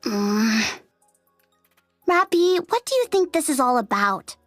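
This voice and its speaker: A sassy, grumbling young woman soldier in a video game. Her voice is high and very animated, dramatic and sarcastic, recorded dry with faint music behind. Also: slow pace, no echo, heavily acted. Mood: puzzled. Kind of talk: English video game voice acting.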